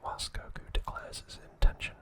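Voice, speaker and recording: A man narrating a scripted ASMR video in a breathy whisper, with deliberate phrasing in short pieces. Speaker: host of an ASMR channel.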